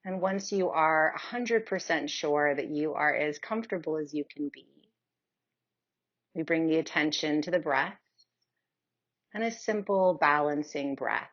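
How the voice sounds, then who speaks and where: Woman doing guided meditation, really slow and soothing, low voice, kinda muffled audio. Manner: soothing, unhurried with long pauses. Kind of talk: guided meditation, yoga nidra.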